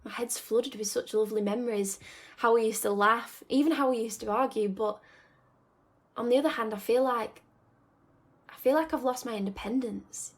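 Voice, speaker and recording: A woman playing a nostalgic young woman in a reflective radio drama monologue, confiding and wistful, with sighing pauses. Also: a little high, lightly acted. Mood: conflicted, affectionate. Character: nostalgic young woman torn between home and independence.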